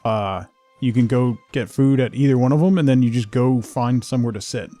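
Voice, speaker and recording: A man hosting a game livestream, rambling casually and haltingly as he thinks aloud and replies to viewers. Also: medium pitch, mellow, no echo, soft background music. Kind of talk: casual chat while playing, answering viewers.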